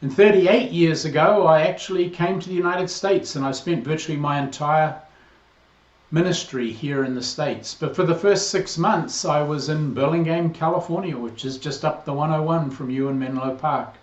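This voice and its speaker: A man in his sixties with a New Zealand accent, a priest giving a measured, earnest greeting to a parish. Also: fairly high pitch. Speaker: priest, incoming interim rector. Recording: roomy, slightly dull recording.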